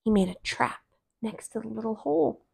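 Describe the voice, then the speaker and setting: A woman in her thirties reading a children's story aloud in an expressive, deliberate way. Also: a little high, vocal fry, breathy edge. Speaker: storybook reader. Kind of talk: children's read-aloud narration. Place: small living room, indoors on a couch.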